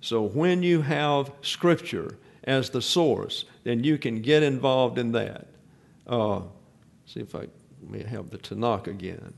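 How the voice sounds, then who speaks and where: A man's voice: medium pitch, animated, slow, creaky. Emphatic man teaching from a pulpit. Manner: explanatory, emphatic on key points, frequent fillers and restarts. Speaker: pastor-teacher. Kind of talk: Bible teaching sermon.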